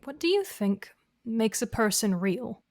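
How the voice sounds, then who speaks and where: Composed, probing therapist. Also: female voice, fairly high pitch, very animated, a little mellow, no room sound, studio quality. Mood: calm, encouraging. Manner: measured, patient, questioning. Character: composed, probing female therapist, gently corrective. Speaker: voice actor in an audio drama. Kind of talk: naturalistic audio drama dialogue.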